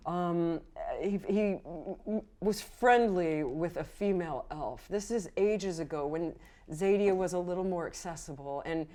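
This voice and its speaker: A woman's voice: lowish voice, warm tone, lightly acted. A woman playing a nervous, secretive beekeeper who stammers and halts as she answers in a tabletop game. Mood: hesitant. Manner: flustered, halting, stammering. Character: nervous, secretive beekeeper dodging questions about magic bees.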